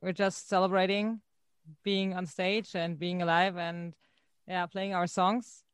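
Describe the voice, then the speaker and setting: Hesitant woman singer, smooth voice. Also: fairly low pitch, flat delivery, a little slow, dry recording, clean studio sound. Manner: hesitant, searching for words, laughs often. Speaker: band singer. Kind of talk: interview answers.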